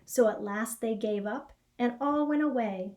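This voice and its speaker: Female storybook reader, middle-aged, soft mellow tone, slow measured delivery with expression. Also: fairly high pitch, a little hiss or hum. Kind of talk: read-aloud children's story narration. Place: small indoor classroom or office.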